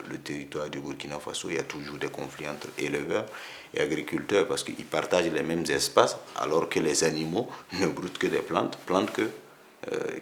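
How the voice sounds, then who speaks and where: Male interviewee, extremely low creaky voice, careful delivery. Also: fairly bright tone, a little hiss or hum. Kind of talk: considered interview answers.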